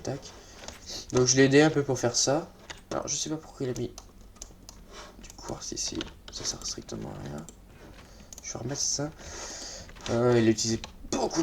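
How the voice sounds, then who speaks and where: A man's voice: medium pitch, creaky. Congested man, noisy gameplay commentary. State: slightly congested with a cold. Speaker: let's play host. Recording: noisy mic with keyboard clicks.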